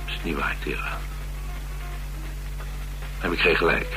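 An actor playing a cynical, battle-hardened adventurer who craves danger, talking persuasively in in a radio drama. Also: male voice, very deep voice, unhurried, music in the background, rough recording. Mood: intense, persuasive. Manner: persuasive, emphatic monologuing.

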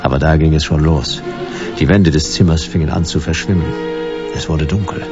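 An actor playing a wry, world-weary hard-boiled private detective in a German radio play, laconic and dry. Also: male voice, a bass voice, steady tone, moderate pace, lo-fi sound, over music. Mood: laconic narrating. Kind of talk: German radio play noir acting and first-person narration.

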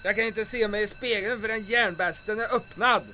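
A man playing a fretful, excitable doctor who tinkers with explosives, whiny and quick to panic. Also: high voice, soft background music. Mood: sulky. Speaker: bungling safecracking doctor.

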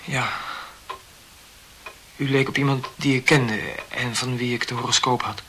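An actor playing an earnest, awkward young medical student who talks politely and over-explains in a radio play. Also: male voice, mid-range voice, fairly even intonation, a little slow, sharp tone, slight background noise. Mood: awkward, confessional.

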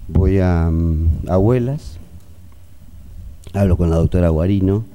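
A middle-aged or older man with an Argentine accent giving halting spoken testimony as a pediatrician witness, searching for details. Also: deep voice, warm tone, slight background noise.

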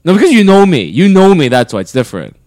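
A man's voice: sits high, very animated, fast, darkish tone, dry recording, clean studio sound. A man in his twenties, swearing freely and laughing often as he tells stories on a casual podcast chat. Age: 20s. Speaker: podcast host. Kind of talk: casual chat among friends.

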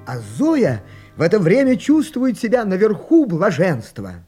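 A man's voice: high-pitched, wildly swooping pitch, measured pace, warm tone, soft background music, full character voice. A man narrating a radio play as a wry, confiding storyteller.

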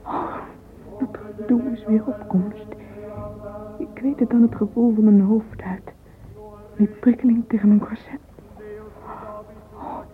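An actress playing a dreamy, self-dramatising young woman in a radio drama inner monologue, hushed and breathy with yearning. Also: on the high side, natural voice. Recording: muffled, noisy old radio play recording.

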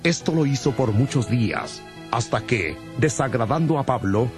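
A man's voice: medium pitch, lively intonation, moderate pace, a little mellow. Male Bible narrator over music. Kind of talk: scripted dramatic Bible narration.

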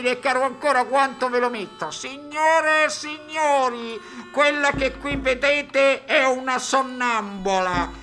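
An actor playing a loud, boastful fairground barker with a Roman accent and grandiose patter in a radio play. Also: male voice, very high-pitched, pitched above the performer's own voice, full character voice. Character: loud boastful male fairground barker touting freak shows. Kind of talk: theatrical radio play monologue.

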